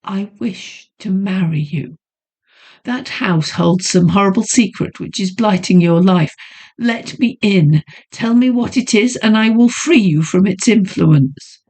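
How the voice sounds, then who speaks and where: A woman voicing an earnest young gentleman suitor who speaks firmly and insistently. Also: mid-range voice, unhurried.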